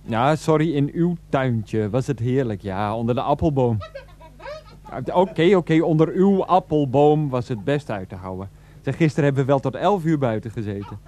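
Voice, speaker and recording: An exasperated grown son , played by a male actor, in a naturalistic radio comedy drama. He talks into a phone in a mid-pitched voice, defensive and placating, often halting and repeating himself. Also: lively intonation, warm tone, natural voice. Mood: chatty, placating.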